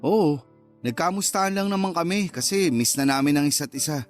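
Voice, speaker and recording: A man playing a smooth, bantering online romancer in a radio drama, speaking in a casual, coy, teasing way. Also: fairly high pitch, expressive, fairly quick, soft background music, close to an everyday voice. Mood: smooth flirting. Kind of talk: Tagalog radio drama dialogue.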